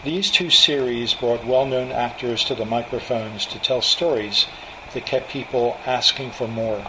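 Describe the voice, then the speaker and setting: A man's voice: mid-range voice, measured pace. Older american guy in his 70s reading his own narration, noticeable hum behind him. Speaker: narrator, old-time radio enthusiast. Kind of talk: scripted personal narration. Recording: steady hum of room noise.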